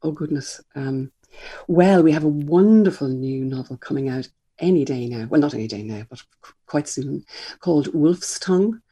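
An older speaker with an Irish accent talks reflectively in an interview, pausing on ums and restarting sentences. The voice is gravelly and husky with a dark, mellow tone. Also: mid-range voice. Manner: thoughtful, hesitant, many ums and restarts. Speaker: children's author and publisher.

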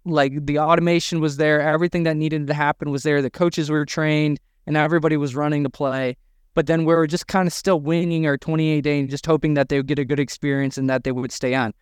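A man's voice: on the high side, flat delivery, fast talker, clear, resonant tone, dry recording, clean studio sound. A man co-hosting a podcast, talking about running a business in an emphatic, conversational way. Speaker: podcast co-host. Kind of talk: conversational business coaching talk.

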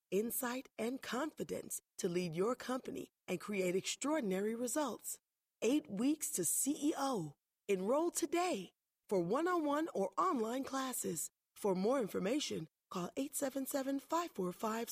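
A woman's voice: fairly high pitch, a little mellow, slightly rough, no room sound, studio quality. A woman reading a scripted radio advertisement in a polished, persuasive way. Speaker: ad announcer.

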